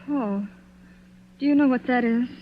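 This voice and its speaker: Anxious young wife in vintage radio suspense, played by an actress. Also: female voice, on the high side, measured pace, slight background noise, natural voice. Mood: tense, fearful. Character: anxious young wife, suspicious, narrating her eerie tale. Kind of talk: 1940s radio suspense acting. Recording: muffled vintage radio broadcast with music.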